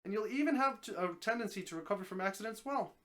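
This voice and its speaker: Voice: medium pitch, expressive, quick pace, a bit bright, natural voice. A reader voicing an affable, matter-of-fact talking snake. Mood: explanatory.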